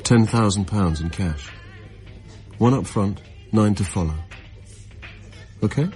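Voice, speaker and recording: A man's voice: lowish voice, slow, darkish tone, faint music behind. An actor playing a sly, condescending male schemer in a radio drama, casual and manipulative in manner. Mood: slyly persuasive. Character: smooth, condescending male schemer, slyly persuasive.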